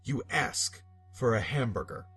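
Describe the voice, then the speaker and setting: A man's voice: mid-range voice, expressive, unhurried, fairly bright tone, husky. Theatrical male narrator with dramatic swings. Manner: theatrical, emphatic delivery with dramatic drops and outbursts. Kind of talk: dramatic scripted narration of absurdist horror fiction. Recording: music bed behind narration. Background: background music.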